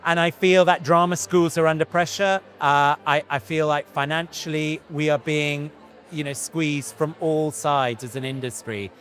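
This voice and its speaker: A man's voice: a little high, fairly even intonation, normal speed, fairly bright tone. A man in his fifties, an actor answering interview questions in a thoughtful, earnest way, often restarting and saying "you know". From Iran, raised in Britain. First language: Farsi.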